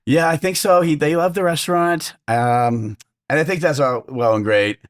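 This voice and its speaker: A middle-aged man from south of Boston with a Boston accent, talking about his restaurant as an interview guest, quick and full of fillers. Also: on the high side, no room sound, studio quality. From Massachusetts, south of Boston. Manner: fast-talking, lots of fillers. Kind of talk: casual interview chat.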